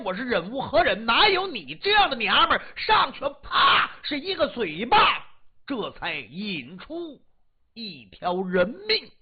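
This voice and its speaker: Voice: mid-range voice, lo-fi sound, heavily acted. A storyteller voicing a drunk, aggrieved husband who stammers and complains at length. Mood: defensive complaint. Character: drunk aggrieved husband pleading his case.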